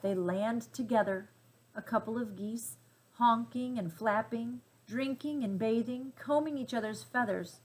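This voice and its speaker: Lady reading a kids story out loud, gentle, careful phrasing, puts feeling into it. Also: a little high, a little slow, rough recording. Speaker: storybook reader. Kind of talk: expressive children's story read-aloud.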